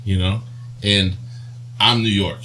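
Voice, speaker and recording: A man hosting a podcast, telling stories in casual chat, animated and laughing easily. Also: fairly low pitch, slow pace, slight background noise, rough recording. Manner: animated storyteller, laughs while talking. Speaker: podcast host.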